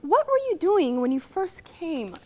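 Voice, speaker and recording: A woman's voice: very high-pitched, big pitch swings, unhurried, a little mellow, heavily acted. A woman playing a bubbly gushing TV host. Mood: polite. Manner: bubbly, showy announcer delivery. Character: perky gushing female TV talk show host. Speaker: mock talk show host. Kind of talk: parody talk show interview.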